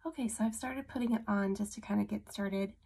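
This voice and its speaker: Woman in her thirties, stuffy nose, husky gravelly voice, chatty beauty demo. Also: medium pitch, brisk. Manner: chatty, many ums, soft and friendly. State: congested from a cold. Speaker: beauty vlogger. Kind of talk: casual product demo to camera. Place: small room at home, indoors.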